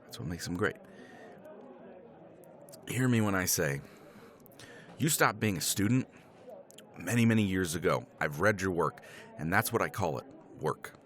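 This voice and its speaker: A man's voice: low-pitched, animated, a little slow, long pauses, a bit bright. A man playing a tipsy middle-aged professor in a romance roleplay, rambling with chuckles and casual swearing. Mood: persuasive. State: tipsy from scotch. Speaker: roleplay character.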